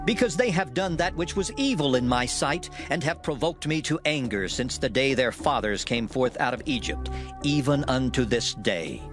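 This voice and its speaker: A man's voice: medium pitch, animated, normal speed, close to an everyday voice. Male actor as God of scripture: solemn, angry, declamatory, very varied intonation over music. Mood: wrathful. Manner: declamatory, weighty. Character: God, wrathful and solemn, pronouncing judgement on a people. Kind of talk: dramatized scripture acting. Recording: music bed under the voice.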